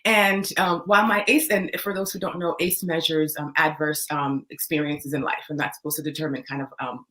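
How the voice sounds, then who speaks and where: Middle-aged Haitian-born woman, lowish voice, guest coach, reflective and measured. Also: steady tone, sharp tone. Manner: thoughtful, often tags "right?". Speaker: guest, trauma-informed coach. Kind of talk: reflective interview talk.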